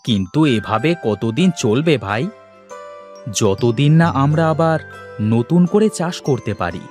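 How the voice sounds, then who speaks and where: An actor playing a patient, generous village farmer in a cartoon story, with an earnest, expressive delivery. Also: male voice, mid-range voice, slow pace, faint music behind. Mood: concerned, steady.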